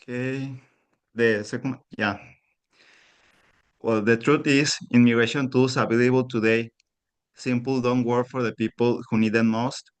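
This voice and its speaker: A man from Mexico with a Mexican Spanish accent, giving an earnest prepared pitch over a remote call while unwell. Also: clear, resonant tone. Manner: steady, earnest delivery, pausing to ask for the next slide. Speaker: pitch contestant.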